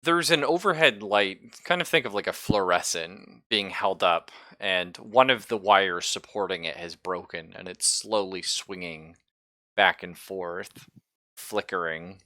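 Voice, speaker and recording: A man running a tabletop roleplaying game, improvising his descriptions haltingly as he thinks aloud. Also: sits low, sharp tone, dry recording. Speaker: game master.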